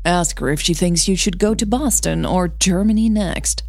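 A guarded, easily wounded woman in love, acted out by a female narrator, speaks in a tense, clipped way for an audio drama. Her voice is lowish and husky, in a slightly roomy sound. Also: normal speed, darkish tone, clean studio sound. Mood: bitter, angry.

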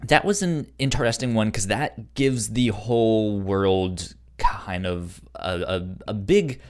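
A man co-hosting a podcast, discussing a TV show casually in a halting way as he feels out his words. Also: on the low side, darkish tone. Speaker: podcast co-host.

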